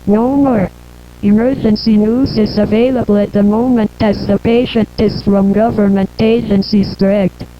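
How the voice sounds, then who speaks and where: A woman's voice: mid-range voice, steady tone, moderate pace. A synthetic female voice delivering a scripted emergency bulletin in a formal, impersonal manner. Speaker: emergency information announcer. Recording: muffled lo-fi broadcast with hum and noise.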